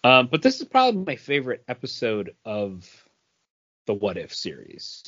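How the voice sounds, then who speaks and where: A man's voice: medium pitch, wildly swooping pitch, normal speed, a bit bright. A man co-hosting a podcast in casual chat, hesitant and searching for his words. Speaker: podcast co-host. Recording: dull lo-fi audio.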